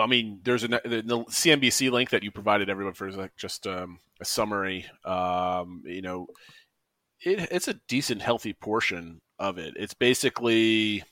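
A man co-hosting a casual podcast, talking in a relaxed way that drops into lower asides. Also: no room sound, clean studio sound. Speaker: podcast co-host.

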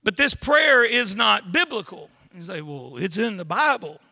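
A man's voice: high voice, lively intonation, moderate pace, gravelly, pushed and bright. A middle-aged man with a Southern accent from Louisiana, preaching a sermon as pastor with folksy jokes, asides and frequent restarts.

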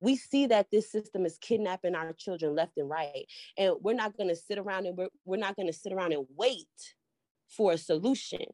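A woman's voice: lowish voice, a little fast, a little mellow, no echo, studio quality. A woman hosting a panel podcast, rallying her guests with energy. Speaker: podcast host.

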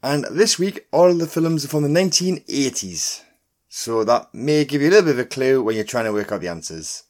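A man hosting a quiz podcast, reading out scripted questions and clues in a deliberate announcing manner. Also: medium pitch, expressive, darkish tone. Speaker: pub quiz podcast host. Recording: music bed under the voice. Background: background music.

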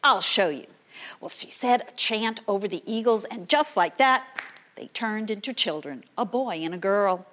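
A woman telling a folktale aloud in a dramatic manner, sometimes hushed for suspense. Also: medium pitch, normal speed. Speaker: storyteller. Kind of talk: oral folktale storytelling to an audience.

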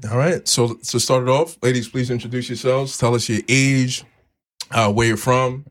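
A man hosting a podcast, relaxed as he prompts the guests with questions. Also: mid-range voice, normal speed. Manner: relaxed, steers the conversation with prompts. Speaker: podcast host. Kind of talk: casual chat, interviewing guests.

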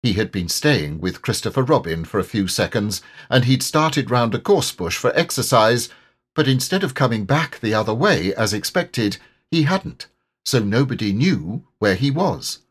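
A man reading a children's story as an audiobook narrator, in an expressive, measured way. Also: medium pitch, breathy edge. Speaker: audiobook reader.

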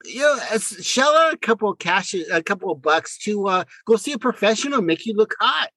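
Male podcast co-host, middle-aged, lively swings in pitch, fairly high voice, stumbles and restarts in casual chat. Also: no echo. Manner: halting, repeats words.

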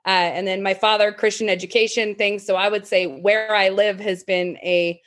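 A woman's voice: medium pitch, level tone, normal speed. A woman from Idaho talking as a podcast guest, frank and laughing often, thinking aloud with ums. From Idaho, USA. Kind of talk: casual interview chat.

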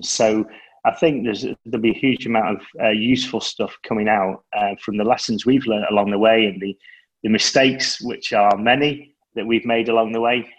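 A man co-hosting a startup podcast, explaining in a measured, explanatory way. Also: on the low side, breathy edge, rough recording. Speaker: podcast co-host and startup founder. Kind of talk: casual podcast chat.

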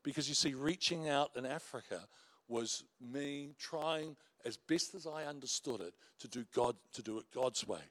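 A man's voice: mid-range voice, normal speed, no room sound. A middle-aged man with a big voice, preaching a sermon as a pastor and telling stories with self-mocking humor. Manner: animated storytelling preacher, warm asides and self-mocking laughs.

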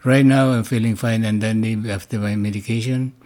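A man in his eighties from the Philippines with a Filipino accent, reminiscing casually and pausing to search for words. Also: lowish voice, fairly even intonation, a little mellow. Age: 80s. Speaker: interview guest, grandfather.

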